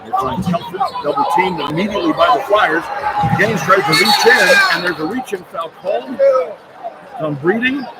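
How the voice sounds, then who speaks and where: A male radio announcer calls basketball play-by-play live from courtside. His voice sits fairly high and swings widely in pitch as he follows the action. A loud crowd fills the noisy arena behind him. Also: expressive, moderate pace. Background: roaring crowd and arena noise.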